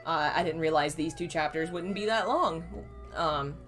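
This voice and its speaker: A hoarse woman reading a novel aloud on her channel, chatty and fond when she talks to viewers. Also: low-pitched, moderate pace, a bit bright, soft background music. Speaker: reader and channel host.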